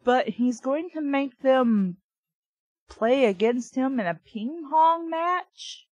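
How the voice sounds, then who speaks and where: A woman's voice: sits high, animated, slow, darkish tone, no room sound. A woman reading a book aloud and reacting in casual, blunt asides, often incredulous and sweary. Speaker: host reading and reviewing a children's book. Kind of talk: read-aloud with casual running commentary.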